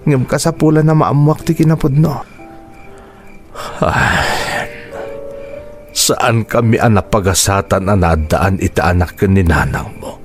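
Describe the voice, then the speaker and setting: A man's voice: on the low side, unhurried, long pauses, soft background music. A man playing a middle-aged, remorseful father in an audio drama, patient, earnest and pleading. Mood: solemn, confessional. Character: remorseful, patient father confessing to his son. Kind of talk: Ilocano audio drama acting.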